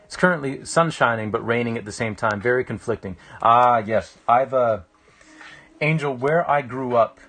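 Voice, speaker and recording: A man, chatting casually with livestream viewers in a reflective, halting way as he thinks aloud. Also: mid-range voice, fairly bright tone. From Canada. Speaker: live-streaming painter. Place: small indoor room with a painting on the wall. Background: music.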